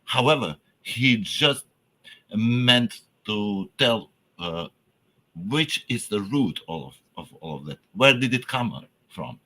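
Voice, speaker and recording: A man's voice: lowish voice, lively intonation, takes it slowly, bright, forceful tone. A man whose first language is Albanian gives an academic lecture in English, hesitant and searching for words, with frequent fillers and restarts.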